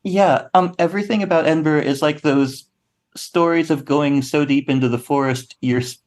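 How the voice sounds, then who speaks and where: A man speaking as an interview guest, telling stories casually with a halting, wry, humorous delivery. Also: on the high side, a little mellow. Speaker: guest playwright and actor.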